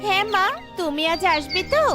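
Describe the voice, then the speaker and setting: Woman acting anxious young female bird, tiny high voice, pleading manner, loud music behind. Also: normal speed. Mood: pleading, eager. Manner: worried, pleading.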